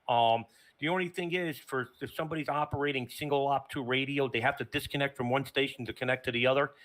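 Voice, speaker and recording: A man's voice: a little high, a bit bright. A man explaining a technical setup in casual conversation, as a plain-spoken station operator. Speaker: remote ham radio station operator.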